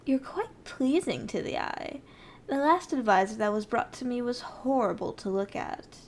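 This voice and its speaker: A woman's voice: fairly high pitch, lively intonation, moderate pace, slight background noise. A woman playing a haughty, ruthless evil sorceress queen in an ASMR roleplay, speaking in an imperious, menacing, condescending way. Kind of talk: scripted ASMR roleplay addressed to the listener.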